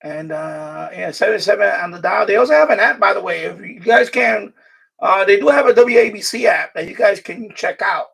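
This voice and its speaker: A man's voice: sits high, normal speed, gravelly, a bit bright, slightly rough, distant mic. A man hosting a casual live stream, chatting in a rambling way and repeating himself. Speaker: live stream host, radio hobbyist. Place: New York City.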